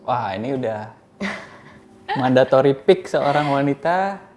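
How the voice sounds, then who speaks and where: A woman's voice: very deep voice, lively intonation, takes it slowly, very noisy. A woman hosting a casual podcast interview, teasing her guest playfully and laughing along. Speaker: podcast host.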